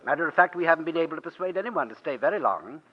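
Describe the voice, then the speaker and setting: An actor playing a reserved, respectable businessman in a 1940s radio drama, courteous and a little halting. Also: on the high side, lively intonation. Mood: reserved, intrigued. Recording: reverberant, dull vintage radio recording.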